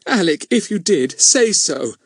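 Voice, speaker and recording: A man's voice: sits high, big pitch swings, unhurried, husky, no room sound, clean studio sound, pitched above the performer's own voice, heavily acted. A man voicing a distraught, indignant young woman in a storybook narration. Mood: desperate pleading.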